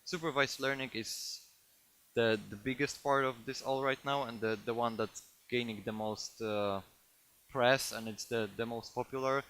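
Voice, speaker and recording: Bright-voiced Polish man giving a tech talk. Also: medium pitch, steady tone, slight background noise. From Poland. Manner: halting, many fillers and restarts. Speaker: conference speaker. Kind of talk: technical talk to a live audience.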